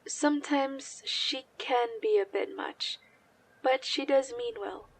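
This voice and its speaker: A woman's voice: high voice, takes it slowly, creaky, a little hiss or hum, exaggerated voice, poor audio. A woman playing a frank, teasing young senior comrade in an anime-style audio drama, speaking casually and conversationally. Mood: reassuring.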